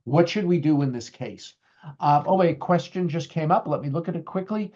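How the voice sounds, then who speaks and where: Man, boston accent, middle-aged, webinar presenter, chatty with lots of ums and restarts, a bit high, dull lo-fi audio. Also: brisk, a little mellow. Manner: conversational, many fillers and restarts. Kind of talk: webinar talk with slides.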